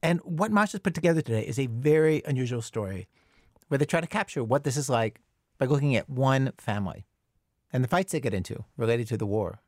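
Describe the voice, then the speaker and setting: A man's voice: medium pitch, animated, fairly quick, no room sound, clean studio sound. A man hosting a radio show, reading a scripted introduction in a conversational, reflective way.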